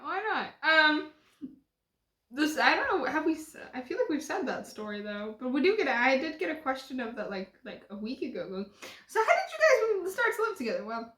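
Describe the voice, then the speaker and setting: A woman's voice: sits high, sing-song, a little fast, a bit bright, echoey room. A woman in her early twenties chatting casually at home in her living room, rambling and laughing often. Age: 20s. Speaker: vlogger. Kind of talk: casual chat.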